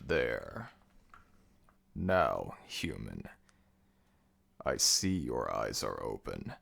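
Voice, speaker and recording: A man playing an ancient, stern jackal god of the dead in an ASMR audio roleplay, speaking in an imperious, deliberate, menacing way. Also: very deep voice, gravelly, fairly bright tone, breathy edge, voice pushed down, heavily acted.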